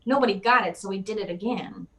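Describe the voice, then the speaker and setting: A woman's voice: medium pitch, fast, crowd noise, natural voice, rough recording. A woman playing a hardboiled private eye, narrating a noir radio play in a dry, wry, tough way.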